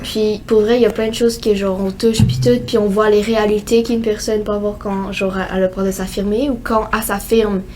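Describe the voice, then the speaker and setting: A woman's voice: mid-range voice, little pitch movement, a little fast, few pauses, a little mellow, a little hiss or hum, rough recording. A teenage girl with a Québécois French accent, co-hosting a casual podcast chat, rambling with lots of fillers. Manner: rambling, many fillers like "genre" and "euh". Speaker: co-host.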